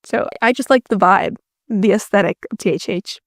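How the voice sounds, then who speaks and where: A woman's voice: mid-range voice, normal speed, no room sound. Young Finnish-native woman YouTuber, casual Q&A voice-over, self-mocking, dry close mic. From Finland. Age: young adult. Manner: self-deprecating, dry jokes.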